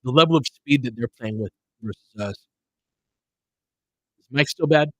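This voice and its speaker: A man's voice: mid-range voice, normal speed, pushed and bright, no room sound, poor audio. Casual man commentating with a dry, close sound. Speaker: livestream host giving basketball play-by-play. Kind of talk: casual chat with live viewers, game commentary.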